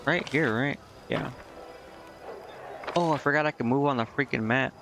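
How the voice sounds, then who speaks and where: A man giving casual game commentary as a streamer, rambling and thinking out loud. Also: medium pitch, normal speed, over music. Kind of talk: casual gameplay commentary, reading game dialogue aloud. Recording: muffled mic with clear background noise.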